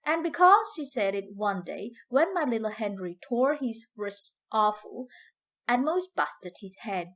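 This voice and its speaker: Casting: female reader as older Southern US freedwoman, higher-pitched, unhurried, proud and fiery, emphatic dramatic storybook dialect. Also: expressive, fairly bright tone, exaggerated voice. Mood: proud, indignant.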